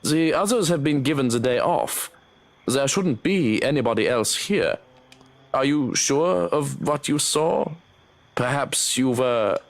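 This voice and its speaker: Composed male bodyguard for a horror game, acted by a man; mid pitch, formal, tactful, matter-of-fact. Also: expressive, measured pace, creaky. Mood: businesslike.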